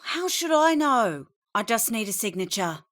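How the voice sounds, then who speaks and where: A woman's voice: on the high side, very animated, moderate pace, fairly bright tone. An actress playing an indifferent, unhelpful female delivery worker with an offhand, casual manner in a video game. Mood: offhand, unbothered.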